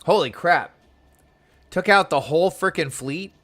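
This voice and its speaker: A man's voice: fairly high pitch, unhurried, a bit bright. A man giving casual, chatty live gameplay commentary as a streamer, reacting informally. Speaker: game streamer.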